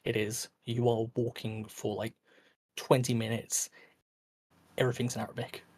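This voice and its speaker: A man's voice: medium pitch, level tone, normal speed, darkish tone, breathy edge. A tired man talking late at night as a guest in a casual online debate, hesitant and full of fillers. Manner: hesitant, filler-heavy, restarts often.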